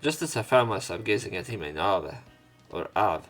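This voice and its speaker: Man, lowish voice, visual novel narration, casual commentary, stumbles and self-corrects, music behind. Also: expressive, normal speed. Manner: stumbles over words and corrects himself. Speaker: let's play host reading and narrating. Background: game music behind the voice.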